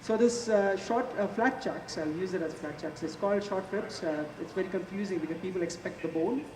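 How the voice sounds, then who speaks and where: Male chef, forties, Indian accent, chatty halting demo delivery, distant mic in a reverberant space. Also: high voice. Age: 40s. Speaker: chef giving a cooking demonstration. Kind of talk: casual live cooking demonstration. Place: large echoey event space before an audience. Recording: echoey, noisy public hall, distant mic.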